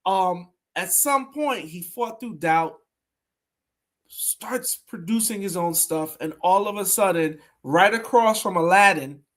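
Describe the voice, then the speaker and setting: High-voiced man hosting. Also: slow. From Brooklyn, New York. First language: Haitian Creole. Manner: animated storytelling, emphatic repeats. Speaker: podcast host. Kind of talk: conversational interview.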